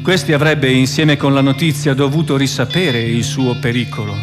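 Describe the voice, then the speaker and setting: An actor playing a measured, sombre narrator who recounts a historical trial in solemn, scripted radio theatre style. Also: male voice, mid-range voice, close to an everyday voice. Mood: reasoning. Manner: deliberate, solemn, declamatory. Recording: reverberant hall with guitar music.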